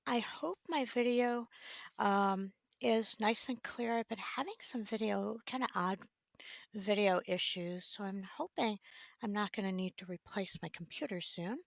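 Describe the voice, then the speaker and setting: A woman hosting a casual art livestream, her voice raspy as she recovers it, talking in a relaxed, halting way with many ums. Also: medium pitch, no room sound. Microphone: desk microphone.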